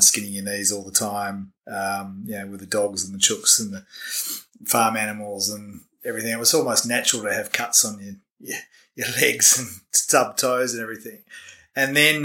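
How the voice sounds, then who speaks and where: Man, Australian accent, lowish voice, bright tone, distant from mic, roomy sound. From Western Australia. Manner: laughs easily, chatty storytelling. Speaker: podcast guest. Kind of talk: casual interview. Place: living room at a home.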